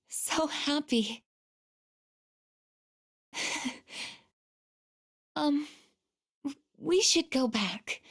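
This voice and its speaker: Game role: gentle female android, tender and hesitant, very unhurried, lightly raised pitch, earnest devotion. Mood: shy, overwhelmed. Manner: soft, hesitant, deliberate, tender. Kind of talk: video game voice acting, emotional dialogue.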